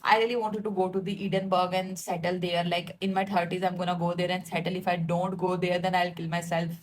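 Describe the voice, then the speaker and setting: A woman's voice: on the low side, flat delivery, a little fast, noisy background. A woman, ranting casually to her followers and laughing at herself, with lots of likes and fillers. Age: 20s. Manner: chatty, self-mocking, laughs often, filler-heavy. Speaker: vlogger. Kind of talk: casual rambling rant to followers.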